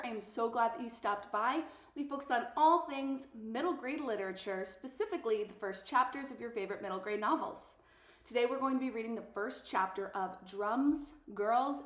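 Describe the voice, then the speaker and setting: A woman's voice: a little high, reverberant. A woman hosting a read-aloud, introducing and reading from a children's novel for her listeners. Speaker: host of a book read-aloud channel.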